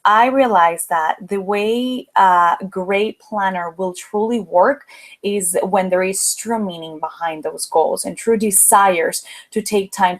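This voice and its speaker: A young woman from the Dominican Republic with a Dominican Spanish accent, leading a motivational webinar in an upbeat, chatty way. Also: medium pitch. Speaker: webinar host, planner business owner. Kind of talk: live webinar presentation.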